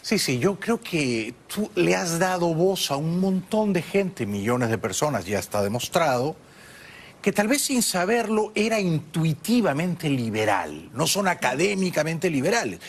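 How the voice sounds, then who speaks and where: A male interviewer with a husky voice and a lively, swooping delivery. He asks questions expressively and makes self-deprecating remarks. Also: a little high, unhurried. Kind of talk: interview conversation.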